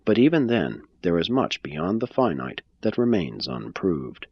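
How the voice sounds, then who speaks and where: A man giving a measured, phrase-by-phrase scripted reading of a religious text. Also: deep voice, big pitch swings, breathy edge, no echo, dull audio. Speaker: reader.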